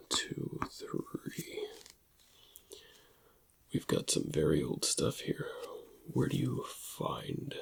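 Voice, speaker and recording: A man performs a shady, long-lived alien antiquities dealer in an ASMR roleplay. The voice is hushed and partly whispered, with heavy fry. He takes his time, musing over each item, and his pitch swings widely as he goes. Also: exaggerated voice. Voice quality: whispery, gravelly.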